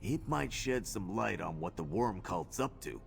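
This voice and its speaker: An actor playing a gruff, wry, well-travelled adventurer in a video game, with a gravelly voice and blunt, folksy talk. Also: male voice, fairly low pitch, lively intonation, measured pace, heavily acted. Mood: thoughtful, friendly. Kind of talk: video game character dialogue.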